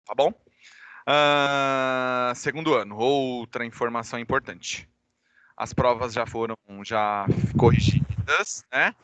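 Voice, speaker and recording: A Brazilian man, a high school teacher with a cold, giving informal class announcements online and addressing students directly. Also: slow, a bit bright, no echo. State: recovering from a cold. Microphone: microphone.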